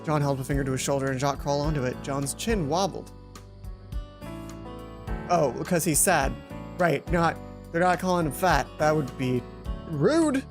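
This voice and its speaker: Low-voiced woman narrating over music. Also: expressive, moderate pace, long pauses. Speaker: let's play host. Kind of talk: reading game narration aloud with casual asides. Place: closed hot room at home.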